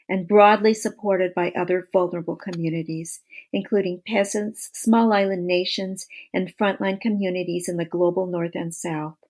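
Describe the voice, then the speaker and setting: A woman reading scripted non-fiction narration for an audiobook in a measured, explanatory way. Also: on the low side.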